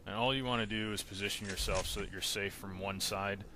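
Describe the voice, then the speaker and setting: A man's voice: fairly low pitch, fairly even intonation, brisk, noisy background. A man giving plain, step-by-step commentary for a video game walkthrough. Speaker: walkthrough narrator.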